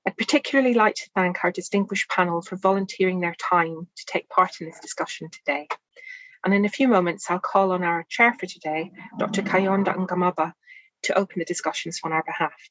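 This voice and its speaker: A woman opening an online panel for an alumni association, reading housekeeping notes in a formal, measured way. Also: fairly low pitch, a bit bright, no echo, lo-fi sound. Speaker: event organiser for alumni association. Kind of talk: scripted housekeeping introduction.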